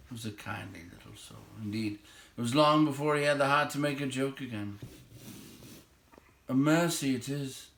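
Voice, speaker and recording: A man reading a novel aloud as expressive, measured storytelling narration. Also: mid-range voice, vocal fry, a little hiss or hum. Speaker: amateur storybook reader. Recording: roomy, echoey home recording.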